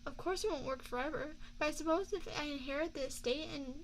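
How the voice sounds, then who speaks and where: A woman playing an affectionate young mafia boss girlfriend in an ASMR roleplay, speaking in a soft, confiding, measured way close to a binaural microphone. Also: sits high, a little hiss or hum, close to an everyday voice. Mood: guarded, serious. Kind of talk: ASMR girlfriend roleplay, scripted intimate monologue.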